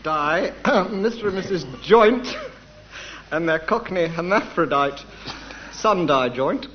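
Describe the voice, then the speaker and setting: High-voiced male comedy panellist. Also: animated, takes it slowly. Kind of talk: radio panel show banter and puns. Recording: muffled, very noisy live radio recording. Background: loud studio audience laughter.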